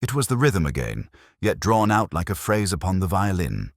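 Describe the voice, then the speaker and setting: A man narrating a detective story in a dramatic, measured and suspenseful way. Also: low-pitched, big pitch swings, no room sound, studio quality. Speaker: audiobook narrator.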